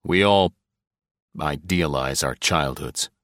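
A man's voice: a bass voice, slow pace, a bit bright, dry recording, exaggerated voice. A man voicing a paranoid, fearful middle-aged man who turns bitter and desperate, near sobbing. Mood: wistful. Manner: frantic, pleading, close to sobbing.

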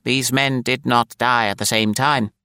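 A man reading an audiobook, voicing a calm, precise ship's doctor who speaks slowly and deliberately. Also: mid-range voice, clear, resonant tone, no echo, studio quality, pitched above the performer's own voice. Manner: slow, measured, unruffled. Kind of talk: audiobook character voice.

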